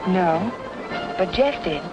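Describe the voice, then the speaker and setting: A woman's voice: lowish voice, expressive, slow pace, over loud music, poor audio. An actress playing a poised, intelligent professional woman, cool and composed, in an old noir drama. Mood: composed, curious.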